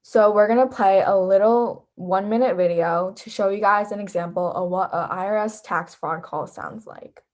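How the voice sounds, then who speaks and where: A woman's voice: medium pitch, moderate pace, hardly any pauses, a little mellow. Teenage girl narrating a scripted lesson. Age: teens. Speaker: volunteer instructor.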